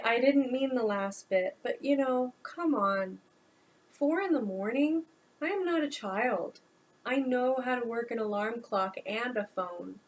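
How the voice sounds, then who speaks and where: A woman's voice: on the high side, poor audio. A woman voicing a blunt, exasperated young man who answers his mother, in a storybook reading. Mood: pleading.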